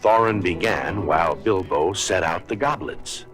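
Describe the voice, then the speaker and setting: A man narrating a scripted children's story in a dramatic, deliberate storybook style. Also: deep voice, a bit bright, soft background music.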